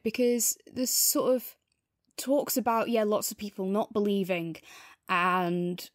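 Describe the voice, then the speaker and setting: A woman talks casually as a podcast co-host. Her voice sits at a middling pitch, and she offers opinions thoughtfully and a little tentatively. The sound is dry, with very little room. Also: no echo. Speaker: podcast co-host reviewing audio drama. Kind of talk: casual review discussion.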